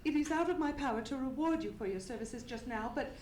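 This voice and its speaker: A woman playing a frightened young gentlewoman in a live radio melodrama, formal and pleading, recorded on a theatre stage. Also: high-pitched, expressive, fast, reverberant, slight background noise. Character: frightened, distraught young gentlewoman seeking help. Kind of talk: live old-time radio melodrama acting.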